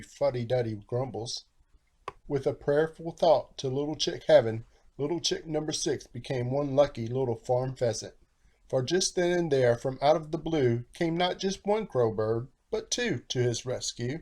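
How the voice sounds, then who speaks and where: A man reading a children's storybook aloud in a theatrical, animated style with rhyming phrasing. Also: mid-range voice. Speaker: storybook narrator, author.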